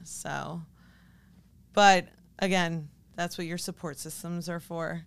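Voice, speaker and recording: A woman's voice: fairly low pitch, steady tone, takes it slowly, creaky, smooth. A woman who works as a fitness coach, talking informally and conversationally as a guest in a casual interview. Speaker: podcast guest, fitness coach and business owner.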